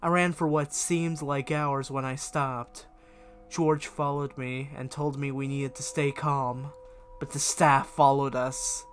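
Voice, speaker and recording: A man's voice: mid-range voice, flat delivery, takes it slowly, faint music behind. A man reading a diary-style horror story aloud as scripted narration, with expression. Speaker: fan fiction reader and video creator.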